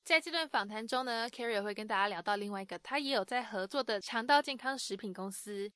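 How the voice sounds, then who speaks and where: A woman's voice: a little high, quick pace, bright, forceful tone, dry recording, studio quality. A woman host narrating a summary of an interview for listeners in a friendly, explanatory way. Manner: friendly explanatory tone with sentence-final particles. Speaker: podcast host summarizing in Mandarin. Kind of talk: scripted narration.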